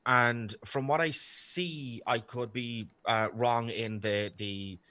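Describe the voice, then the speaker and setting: A man with an Irish accent giving unscripted opening remarks as a debater, halting often with filled pauses. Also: mid-range voice, fairly even intonation, bright, forceful tone, no room sound.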